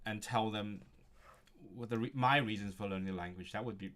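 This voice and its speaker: A man's voice: lowish voice, fairly even intonation, a little fast, a bit bright. A man streaming a language study session, reading from a textbook and thinking aloud with frequent chuckles. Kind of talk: casual livestream, reading a textbook aloud and commenting.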